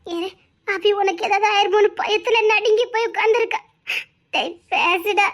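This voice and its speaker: A woman acting as a firm, comforting woman in a family drama. She scolds and reassures in turn, with a voice pitched very high. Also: steady tone, normal speed, a bit bright. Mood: reassuring. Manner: scolding yet reassuring, calls him "daa". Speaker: relative consoling a grieving man.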